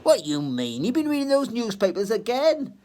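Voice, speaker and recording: A man voicing a bright, teasing young daughter. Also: on the high side, big pitch swings, normal speed, pitched above the performer's own voice. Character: cheerful young working-class daughter.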